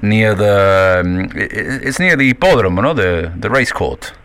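A man's voice: on the low side, lively intonation, fairly bright tone, clear, resonant tone, no echo. A man hosting a podcast, interviewing a guest, often stopping and repeating words as he asks questions. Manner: halting, many repetitions and restarts. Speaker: podcast host.

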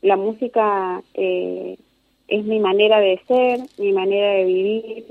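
A fifty-year-old woman with an Argentine Spanish accent, answering interview questions reflectively in measured, conversational phrases. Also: mid-range voice, steady tone, vocal fry, dry recording. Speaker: interview guest, singer and singing teacher. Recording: narrow tinny phone-like line.

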